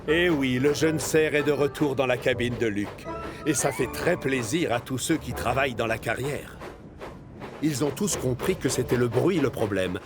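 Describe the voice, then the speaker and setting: A man narrating a scripted children's story as an expressive storyteller. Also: mid-range voice, moderate pace. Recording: music under the voice.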